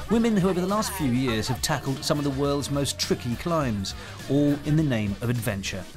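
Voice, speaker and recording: A man's voice: mid-range voice, expressive, brisk. Male reporter narrating over music. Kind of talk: scripted narration.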